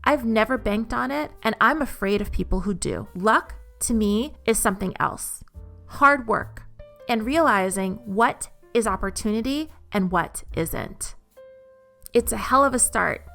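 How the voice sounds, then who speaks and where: A woman's voice: medium pitch, gravelly, fairly bright tone. A woman reading short quotations as scripted narration.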